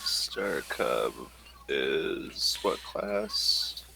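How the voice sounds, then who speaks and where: A man's voice: very deep voice, lively intonation, extremely slow, gravelly, pushed and bright, a little hiss or hum. A man acting as game master, talking casually through a tabletop game.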